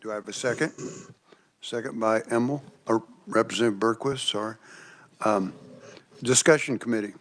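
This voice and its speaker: Male committee chair, courteous procedural delivery, slightly low voice. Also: normal speed, pauses often, a bit bright. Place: legislative committee room.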